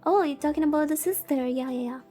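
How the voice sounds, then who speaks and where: A woman's voice: sits high, fast talker, warm tone, soft background music. A woman VTuber livestreaming a visual novel, chatting casually, rambling and reacting aloud as she reads along. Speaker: VTuber streamer.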